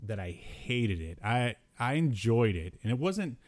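A man hosting a podcast, chatting casually about old cartoons in a halting, hesitant way. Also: medium pitch, very animated, darkish tone. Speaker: podcast host.

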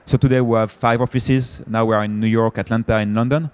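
A man's voice: medium pitch, level tone, fast, clean tone. A man with a French accent speaking on a conference panel, talking with frequent fillers and restarts. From France. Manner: hesitant, many fillers and restarts. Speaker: panelist, company founder.

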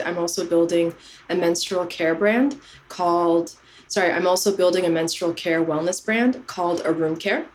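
Low-voiced woman, reflective storyteller. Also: a little hiss or hum, low-quality recording. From Canada. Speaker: podcast guest. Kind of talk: casual interview chat.

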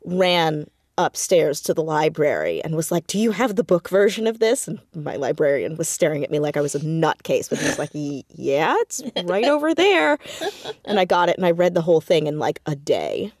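A woman chatting casually as an interview guest, telling things in an animated, easygoing conversational way. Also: sits low, moderate pace, no room sound, clean studio sound. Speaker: podcast guest, audio fiction writer and actor.

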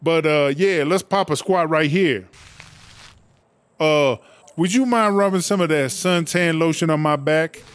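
A man's voice: on the high side, unhurried, smooth, lightly acted. A man playing a brash, flamboyant inmate rapper in a comedy audio drama, with boastful, sassy street slang. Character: brash, flamboyant prison inmate rapper, self-named diva.

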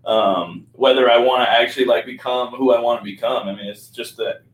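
A man's voice: mid-range voice, fairly even intonation, brisk. A man in his twenties, a guest athlete, speaking earnestly and reflectively in a candid podcast chat, with lots of fillers. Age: 20s. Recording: echoey room.

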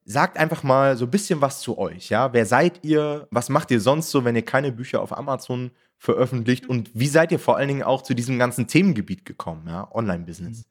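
A man's voice: medium pitch, lively intonation, fairly quick, few pauses. Man hosting a podcast interview. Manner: friendly, asks open questions. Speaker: podcast host.

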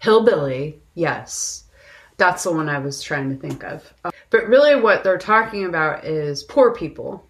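A woman's voice: deep voice, animated, a little slow. A woman co-hosting a film podcast in casual conversation, reflective and often searching for her words. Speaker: podcast co-host discussing films.

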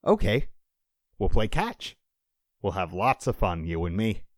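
A man's voice: lowish voice, very animated, measured pace, no room sound, clean studio sound. A man voicing a tender, earnest father who is desperately attached to his young son, in an audiobook story. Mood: tender, encouraging. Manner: emotional, earnest.

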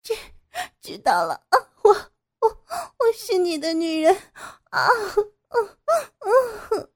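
A woman voicing a frightened adult woman teacher who sobs and pleads in high, tearful, creaky cries. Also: wildly swooping pitch, takes it slowly, full character voice. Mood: pleading, sobbing. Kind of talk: audio drama reading.

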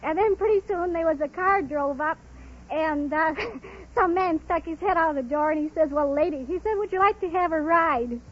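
Female quiz contestant, very high chatty voice, vintage dull radio recording. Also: moderate pace. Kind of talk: chatty anecdote and short answers on air. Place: studio stage before an audience. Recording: muffled, noisy old radio, audience noise.

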